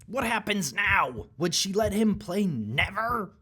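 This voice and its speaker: A woman's voice: medium pitch, expressive, slow pace, sharp tone, slightly rough. A woman narrating a rhyming children's storybook as a scripted read-aloud.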